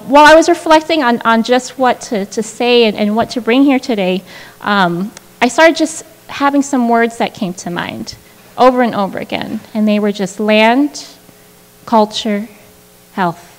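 A woman's voice: fairly high pitch, unhurried. A woman in her thirties giving an earnest, reflective acceptance speech at a podium, with frequent restarts and fillers. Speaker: prize winner giving a speech. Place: large indoor event hall, at a podium. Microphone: podium microphone on a stand. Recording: long reverb of a large hall.

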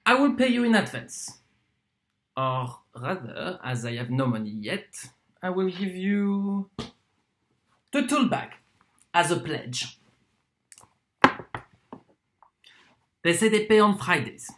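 A performer playing a proud wandering torturer in a theatrical monologue, speaking deliberately with dramatic pauses and swings. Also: mid-range voice, expressive, fairly bright tone, a little hiss or hum. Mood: ingratiating, probing, then persuasive, earnest. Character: proud wandering torturer from Poland, wheedling then contemptuous.

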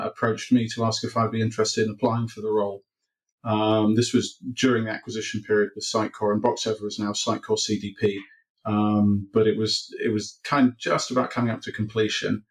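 Brief: middle-aged man from Wales, home office video call, lowish steady voice, halting delivery. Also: rough recording. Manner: hesitant, many ums and restarts. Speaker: interview guest, developer advocate. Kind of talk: casual interview answers. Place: home office with bookshelves, indoors. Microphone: video call webcam.

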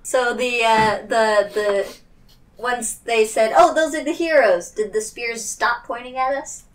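A woman chats as a player in a tabletop game. Her voice is high and casual, and it comes from a little way off the microphone. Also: a little slow, far from the mic, rough recording. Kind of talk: casual chat.

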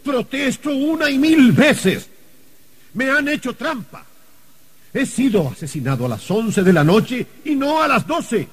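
A blustering, indignant murdered gentleman in a radio play. His high voice swings widely and turns husky as he protests. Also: sing-song, unhurried, no echo, exaggerated voice. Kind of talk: old radio drama acting.

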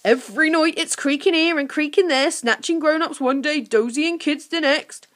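A woman's voice: sits high, normal speed, fairly bright tone, pitched above the performer's own voice. A woman voicing a grubby, uneasy male monster who talks in broken creature grammar in a storybook. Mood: troubled, confessing.

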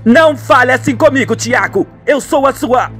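Man acting a high, defiant governess. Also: normal speed, a bit bright, slightly rough, faint music behind, full character voice. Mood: defiant, indignant. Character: defiant, indignant governess and mistress, adult woman. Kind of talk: radio soap opera acting.

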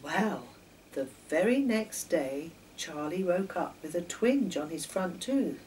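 An older woman reads a children's storybook aloud with a lively, swooping delivery. She stumbles a little over the page. The mic sits at a distance in a home room, with slight noise behind her. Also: fairly low pitch, takes it slowly. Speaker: author reading her own children's stories. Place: home kitchen, indoors.

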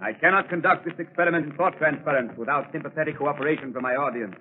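An actor as a high-pitched, solemn stage mentalist. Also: male voice, close to an everyday voice. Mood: solemn concentration. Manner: formal, theatrical, deliberate. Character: solemn, commanding stage mentalist addressing his audience. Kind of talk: 1930s radio drama acting. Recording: crackly, narrow-band 1939 radio broadcast.

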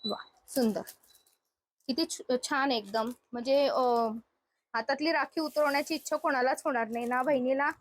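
High-voiced woman presenting a shopping vlog. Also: normal speed, no room sound. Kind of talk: spontaneous product showcase, presenting to viewers and chatting with the seller.

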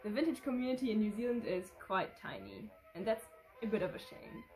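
A woman's voice: mid-range voice, sing-song, a little fast, darkish tone, faint music behind, rough recording. A young woman in her twenties, a native German speaker, reading fan questions aloud and answering off the cuff in a casual vlog from her living room. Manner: chatty, reads questions aloud then rambles off the cuff. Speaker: vintage fashion vlogger. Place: living room with red curtain and sofa.

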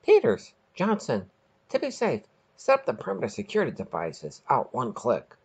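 A man voicing a brisk, authoritative female space captain who barks out orders in a short story reading. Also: medium pitch, huge pitch swings, vocal fry, husky, heavily acted. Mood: brisk, commanding. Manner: barking orders.

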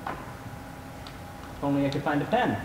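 A young man playing a wounded, rejected young man in a stage play, halting and confessional. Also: a little high, animated. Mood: subdued. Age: young adult. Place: theater stage, indoors. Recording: echoey theater, distant, noisy.

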